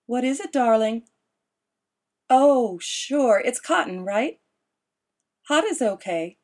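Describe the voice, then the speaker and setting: A woman's voice: a little high, animated, takes it slowly, a little mellow, pitched above the performer's own voice. A woman reading as a sweet, motherly middle-aged woman with a honeyed, coaxing way of talking. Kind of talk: audiobook character dialogue.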